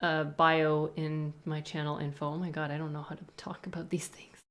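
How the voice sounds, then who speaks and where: Canadian girl streamer, kinda low voice, rambly, answering chat questions. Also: level tone, moderate pace. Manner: rambling, thinks aloud with frequent hedges.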